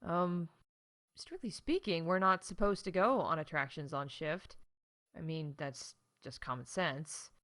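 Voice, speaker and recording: A woman's voice: low-pitched, measured pace, fairly bright tone. A woman playing a chatty, snarky announcer for a space theme park in a comedic audio show, reading scripted updates with sarcastic, candid asides. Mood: growing alarm. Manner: wry, sarcastic, breaking off into asides.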